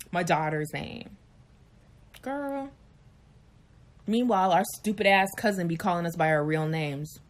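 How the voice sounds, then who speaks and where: A woman in her late thirties chatting frankly and animatedly on a live chat show, telling stories and acting out quick voices. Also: mid-range voice. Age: 30s. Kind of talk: candid casual chat.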